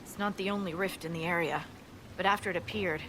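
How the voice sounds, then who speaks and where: A woman's voice: fairly low pitch, steady tone, fast talker, noisy background, close to an everyday voice. A woman voicing a wry, friendly scout. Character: friendly female scout, dry humor.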